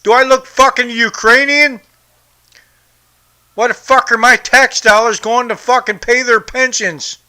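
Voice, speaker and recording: A man's voice: very high voice, steady tone, unhurried, bright, forceful tone, smooth. A man hosting a podcast, from the United States, ranting bluntly and profanely about politics. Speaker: podcast host. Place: home in Brazil.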